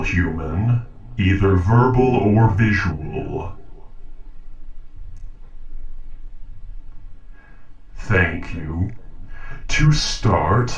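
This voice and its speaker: A man's voice: lowish voice, highs cut off. A man voicing a polite, logical robot in a scripted audio roleplay, speaking formally and precisely. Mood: formal, insistent. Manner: formal, measured, precise diction. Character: polite, logical humanoid robot interrogating a captive human. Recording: roomy echo, distant from mic.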